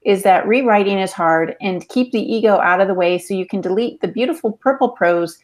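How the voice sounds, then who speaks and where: A woman's voice: medium pitch, a little fast. A woman casually relaying audience comments and questions as a podcast's chat moderator.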